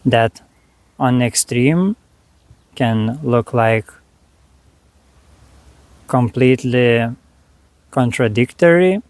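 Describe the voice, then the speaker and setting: A man leading a guided meditation, speaking to the listener in short, unhurried phrases with long pauses between them. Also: mid-range voice, a little mellow, no echo.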